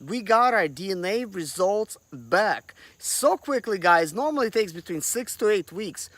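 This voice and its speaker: A man's voice: high-pitched, lively intonation, a little slow, a bit bright, no echo. A man from Russia, hosting a casual vlog and rambling with lots of fillers. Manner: rambling, full of fillers like "like" and "you know". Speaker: vlog host. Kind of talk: casual chat to camera.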